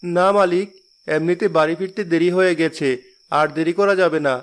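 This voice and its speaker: A man's voice: fairly high pitch, steady tone, moderate pace, close to an everyday voice. An actor playing a humble, polite and hardworking poor village father in a cartoon. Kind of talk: cartoon voice acting. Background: background music.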